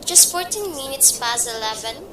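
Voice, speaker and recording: A woman's voice: animated, moderate pace. A woman in a student radio play, voicing a polite, guarded hospital receptionist who speaks formally and patiently. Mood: measured. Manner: formal, patient, repeats "madam". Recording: distant echoey mic, rumbling noise.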